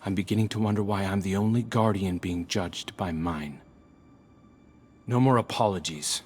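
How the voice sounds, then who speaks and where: A man's voice: low voice, moderate pace, darkish tone, slight background noise, lightly acted. An actor playing a resentful, defiant male guardian in a video game, bitter and pointed in his delivery. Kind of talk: video game dialogue acting.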